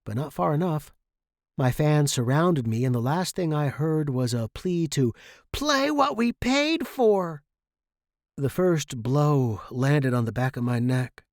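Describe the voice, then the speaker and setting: A man's voice: on the high side, lively intonation, slow, no echo, clean studio sound. A man playing a battered saxophone star in a dramatic story reading, intense and anguished, a bitter, frightened but defiant patient. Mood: grim, pained.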